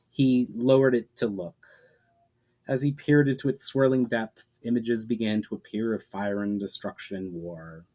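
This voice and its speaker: A man's voice: medium pitch, a little mellow. A man presenting his own fantasy fiction as author and storyteller. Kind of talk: dramatic scripted narration, casual sign-off.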